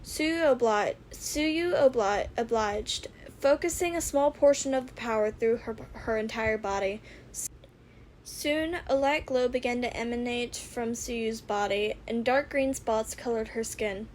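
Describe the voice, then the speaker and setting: A woman with a fairly high voice reads fan fiction as an amateur narrator. Her delivery is informal and homemade. There is some noise on the recording. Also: unhurried, darkish tone, rough recording.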